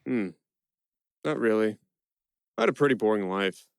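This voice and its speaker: A man playing a quick-witted prisoner who narrates his own tale in a first-person audio play. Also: lowish voice, animated, gravelly, clear, resonant tone, no echo, studio quality. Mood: conversational.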